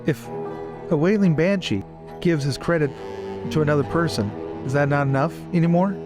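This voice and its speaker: A man playing a proud, smooth-talking marine with a rhetorical, persuasive manner in a tabletop roleplaying game. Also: fairly high pitch, normal speed, music in the background, pitched above the performer's own voice, lightly acted. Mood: indignant.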